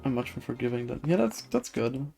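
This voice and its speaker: A man live streaming a video game, chatting casually with viewers in a hesitant, halting way that often repeats words. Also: mid-range voice, big pitch swings, vocal fry. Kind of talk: casual chat while gaming, reading and answering viewer comments. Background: video game music.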